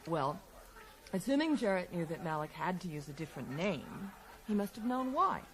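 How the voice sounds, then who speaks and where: A woman's voice: on the low side, sing-song, normal speed. An actress playing a witty, teasing woman in a radio drama, wry and playful. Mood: speculative, teasing. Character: witty, teasing American woman speculating on a mystery. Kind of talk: 1980s radio drama acting.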